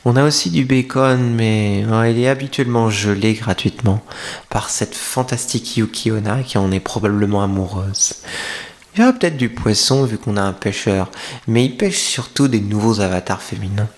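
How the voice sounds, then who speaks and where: A man's voice: lowish voice, normal speed, close to an everyday voice. A coy young femboy streamer, played by a man, hosts the listener in a maid café roleplay. The delivery is intimate ASMR, playful and teasing, with a confiding way of talking straight to one person. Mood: playful, welcoming.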